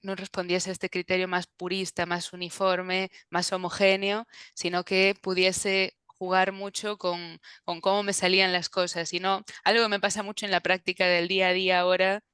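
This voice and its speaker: A woman's voice: fairly low pitch, flat delivery, moderate pace, few pauses, sharp tone, clean tone, no room sound. A woman from Galicia, a native Galician speaker with a Galician accent, answering thoughtfully in an interview and often searching for words. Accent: Galician Spanish. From Galicia, Spain. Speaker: translator.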